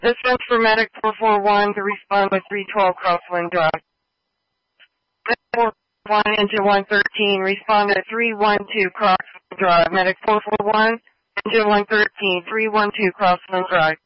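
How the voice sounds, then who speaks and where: High-pitched male dispatcher on muffled radio. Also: steady tone, normal speed, dry recording. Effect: two-way radio. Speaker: fire and police dispatcher. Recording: muffled scanner radio audio.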